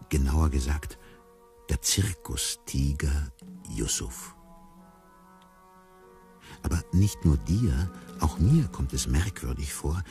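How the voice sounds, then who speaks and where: Radio play circus tiger: slow, widely swinging delivery, deliberate, puzzled about himself, mysterious. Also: male voice, rumbling low, a little mellow, exaggerated voice. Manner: halting, deliberate, musing. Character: enigmatic circus tiger musing on his own identity. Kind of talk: radio play narration addressed to the listener.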